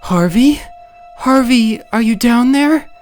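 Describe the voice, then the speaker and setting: A woman acting female roles in a comic book dub, from anxious wife to sly thief, in a measured, dramatic way. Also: a little high, lively intonation, a little mellow, faint music behind. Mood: frightened, tender.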